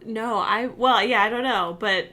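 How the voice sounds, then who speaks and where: A woman's voice: a little high, measured pace, bright, forceful tone. A woman co-hosting a casual review chat, chiming in agreeably. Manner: agreeable, supportive interjections. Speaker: review co-host.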